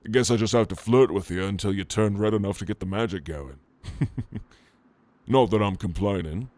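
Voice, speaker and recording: A man playing a flirtatious, protective orc in an intimate ASMR roleplay, with a teasing, casual and gentlemanly manner. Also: on the low side, normal speed, no room sound, natural voice. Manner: teasing, intimate, casual drawl with soft chuckles. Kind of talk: ASMR roleplay addressed to the listener.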